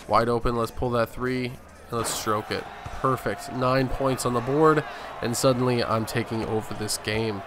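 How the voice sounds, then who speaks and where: A man's voice: medium pitch, fairly even intonation, normal speed, music in the background. A man hosting a gaming video, giving casual, conversational play-by-play of a basketball game. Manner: conversational play-by-play in present tense. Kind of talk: casual gameplay commentary. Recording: clear game noise behind voice. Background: basketball game sounds.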